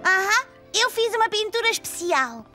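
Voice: very high-pitched, big pitch swings, moderate pace, sharp tone, soft background music, heavily acted. A performer voicing a cheerful, naive four-year-old boy with a European Portuguese accent in a children's cartoon dub. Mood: excited. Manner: childlike, eager, simple phrasing.

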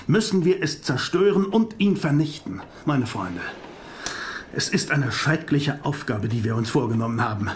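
A man acting in a German radio drama as a grave, commanding vampire-hunting professor who speaks in deliberate oratory. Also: medium pitch, a little hiss or hum, close to an everyday voice. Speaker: voice actor. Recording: music behind the voice.

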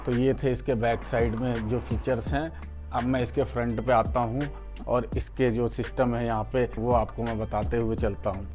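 A man's voice: mid-range voice, level tone, moderate pace, darkish tone, soft background music. An Indian man hosting a product unboxing and demonstration, explaining things step by step to viewers, often repeating his points and addressing them in a friendly, familiar way. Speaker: product reviewer and channel host.

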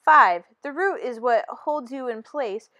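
A woman's voice: high voice, lively intonation, unhurried, bright, forceful tone, full character voice. A woman voicing an encouraging dentist teacher who explains things to a class in a storybook. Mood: instructive. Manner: instructive classroom delivery.

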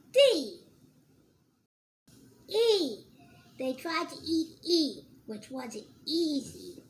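Very high-pitched child reading a storybook. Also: a bit bright, slight background noise. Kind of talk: reading a picture book aloud.

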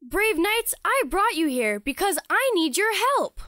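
A bubbly, dramatic girl princess from a kids' comic , played by a woman. The voice is high and extremely animated, perky and gushing. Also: a little slow, dry recording, clean studio sound, full character voice. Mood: pleading. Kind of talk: children's comic read-aloud.

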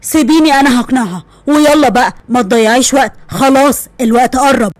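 A woman's voice: high-pitched, fast, no echo, pitched below the performer's own voice, natural voice. A woman voicing a stern, commanding army general father with an Egyptian accent. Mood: firm. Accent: Egyptian Arabic. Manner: firm, commanding, dry humor.

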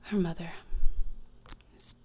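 A young woman playing a morbid, deadpan teenage schoolgirl in a whispered ASMR roleplay, speaking deliberately and cuttingly, close to the microphone. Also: slight background noise, lightly acted. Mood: deadpan musing. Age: young adult. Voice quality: breathy whisper with fry. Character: morbid, deadpan, disdainful teenage schoolgirl who relishes torture. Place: small indoor room, plain backdrop. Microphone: close desktop microphone.

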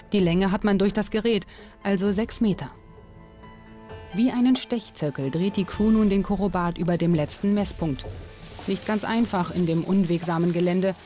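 Female documentary narrator, scripted read, soft mellow tone, music underneath. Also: fairly low pitch. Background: background music.

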